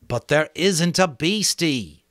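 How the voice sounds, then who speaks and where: Audiobook schoolboy leader, played by a man: cheerful, confident, pitched up, emphatic and lively. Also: slow, dry recording, clean studio sound. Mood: dismissive. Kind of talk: dramatic audiobook character voice.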